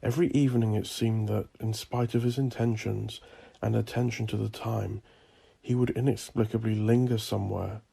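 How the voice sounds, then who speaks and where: A man reading dark fantasy horror as a scripted story, with a measured, deliberate delivery. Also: on the low side, steady tone, creaky, husky. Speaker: audiobook narrator.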